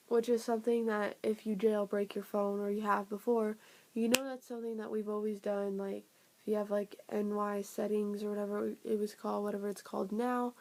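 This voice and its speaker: A young woman reviewing a phone software update in a rambling, casual way, her voice raspy and sniffly from a cold. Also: medium pitch. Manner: rambling, filler-heavy, trailing off. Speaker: tech vlogger.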